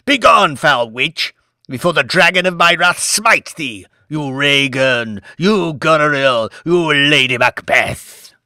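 A man's voice: fairly high pitch, lively intonation, takes it slowly, bright, forceful tone, no echo, clean studio sound, voice pushed up, full character voice. A man voicing a hot-tempered, grandiose old lord in an audiobook, declaiming with pompous melodrama. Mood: theatrical outrage. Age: older.

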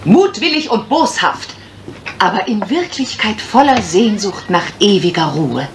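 A woman's voice: medium pitch, expressive, measured pace. A playful lady detective in a vintage radio mystery. Her mid-range voice swoops expressively, arch and theatrical, full of mischief. A faint noise lies behind the old recording. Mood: mystical, theatrical. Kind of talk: German radio crime drama acting.

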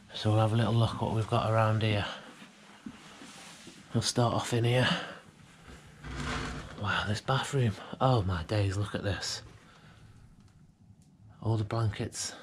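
A young British man quietly narrating as he walks through an abandoned house, musing and sometimes half-whispering, recorded on a handheld camera indoors. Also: on the low side, steady tone, a little slow, pauses often, background noise. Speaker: urban explorer vlogger. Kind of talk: casual walkthrough commentary. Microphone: handheld action camera.

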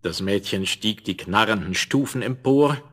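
A man's voice: fairly low pitch, moderate pace. A man narrating a scripted fairy tale in the manner of a 1970s children's audio play. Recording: noisy vinyl rip with clicks.

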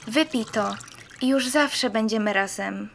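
A young woman plays a ghostly temptress in a radio drama, coaxing and pleading. Her female voice sits fairly high. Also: a little slow, gravelly, slight background noise. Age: young adult.

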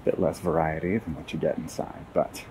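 A man giving a casual walking tour outdoors in a city park, with relaxed, wry asides to viewers. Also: very deep voice, moderate pace, a little hiss or hum. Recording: faint outdoor ambience.

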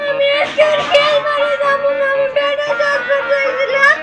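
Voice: very high-pitched, completely flat delivery, moderate pace, over music. A performer playing a childish, whiny young bride in a village comedy drama, pleading in a sing-song babyish way. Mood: whining.

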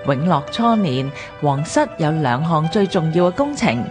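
A woman with a Hong Kong Cantonese accent reads a scripted history documentary in a formal, measured way. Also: low voice, sing-song, over music. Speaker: narrator of a radio history programme.

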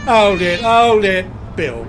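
An actor playing a cocky, boastful British photographer in a radio drama, chatty and slangy, with a swaggering delivery. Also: male voice, sits high, expressive, takes it slowly, background noise. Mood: bossy, breezy. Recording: music under the voice.

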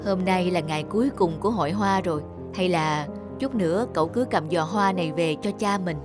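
A woman playing a gentle, devoted middle-aged florist in an animated drama, speaking softly and reflectively. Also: on the low side, a little fast, natural voice. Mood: kindly. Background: background music.

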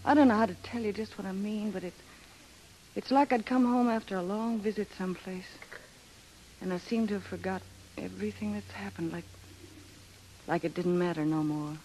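A woman's voice: mid-range voice, normal speed, long pauses, dark tone, close to an everyday voice. Woman acting a tough young dame, noisy recording. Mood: dreamy, wistful. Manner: slangy, blunt. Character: tough, world-weary young woman, sailor's estranged daughter. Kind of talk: 1930s radio drama acting. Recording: noisy old 1930s radio recording.

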